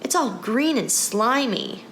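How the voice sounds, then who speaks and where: A woman's voice: a little high, animated, slow pace, a bit bright, pitched above the performer's own voice. A woman voicing a disgusted, teasing young schoolboy in a storybook, blunt and scornful. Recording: roomy echo, background noise.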